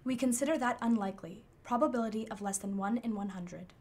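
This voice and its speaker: A woman's voice: fairly high pitch, quick pace, a little mellow, lightly acted. A woman playing an impersonal machine intelligence in a radio play, reporting findings in a formal, precise, matter-of-fact way. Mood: clinical, matter-of-fact.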